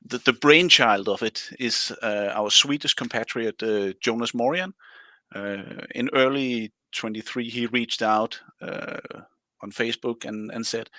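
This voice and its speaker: A man's voice: fairly low pitch, bright, forceful tone, no room sound. A man in his forties from Denmark, a guest chatting casually on a podcast and rambling with many restarts. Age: 40s. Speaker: guest, scenario writer.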